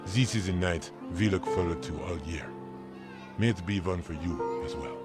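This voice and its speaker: Actor as a low-voiced kindly German village priest. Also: male voice, moderate pace, over loud music, lightly acted. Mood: reassuring. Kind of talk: audio drama acting, storytelling.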